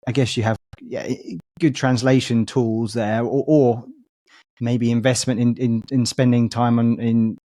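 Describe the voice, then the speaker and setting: A man's voice: mid-range voice, level tone, measured pace, mellow, no room sound. A man hosting a podcast interview, asking questions hesitantly, stumbling and repeating words. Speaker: podcast host.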